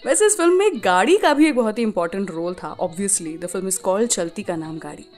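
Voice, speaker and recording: An Indian woman hosting a radio show, telling stories in an expressive, scripted way and switching between Hindi and English. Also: medium pitch, normal speed, faint music behind. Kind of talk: scripted radio storytelling about classic film music.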